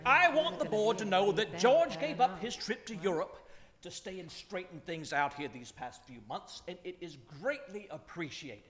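An earnest board member with a formal, emphatic manner, played by a man in a radio play. The voice is high and swings widely, echoing in a long hall reverb. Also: lively intonation, moderate pace, fairly bright tone. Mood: appreciative. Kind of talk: live radio play acting. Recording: echoey hall, live stage performance.

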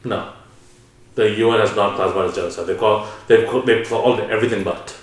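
A man's voice: lowish voice, fairly even intonation, fast talker. A man hosting a podcast, talking candidly and questioning his own views. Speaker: podcast host. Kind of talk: podcast conversation. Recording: very echoey room.

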